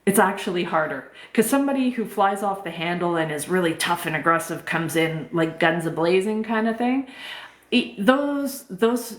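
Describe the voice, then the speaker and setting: A middle-aged woman life coach with a soft voice, speaking gently and informally on a live stream, answering chat questions with many fillers. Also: fairly low pitch. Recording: roomy echo.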